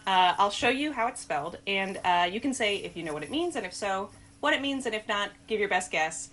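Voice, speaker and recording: A woman presents a casual quiz in an upbeat, chatty way. Her voice sits at an ordinary middle pitch, and she is quick to laugh. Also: lively intonation, a bit bright, slight background noise, low-quality recording. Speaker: host of a language quiz video. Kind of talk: casual game-show presenting and chat.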